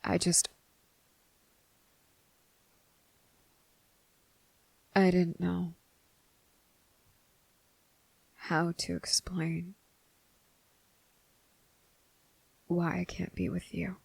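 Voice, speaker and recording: A woman performs a guilt-ridden young male vampire in an intimate ASMR roleplay. The voice is lowish and very creaky, with a narrow, subdued range. He speaks hesitantly and earnestly, as if pleading to be believed. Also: completely flat delivery, a little slow, a little mellow, no room sound, full character voice. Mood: nervous, halting. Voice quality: breathy, husky, gravelly.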